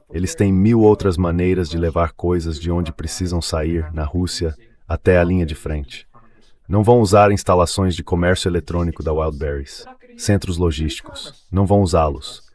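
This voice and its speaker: A man's voice: sits low, a little mellow. A man with a Brazilian accent in a translated voice-over of political analysis, speaking as a commentator. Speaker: guest commentator.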